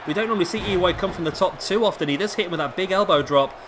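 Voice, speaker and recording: Male gaming commentator, slightly high-pitched but hoarse, casual play-by-play, over clear background noise. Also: rapid-fire. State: hoarse with a sore throat. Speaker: gaming video host and commentator. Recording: clearly noisy home recording.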